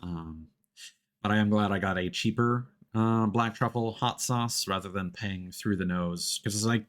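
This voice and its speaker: A man with a fairly low voice chats casually as he streams a game. He rambles a little and hesitates as he goes, with faint game music behind him. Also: fairly bright tone. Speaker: live streamer. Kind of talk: casual gameplay commentary, reading game dialogue aloud.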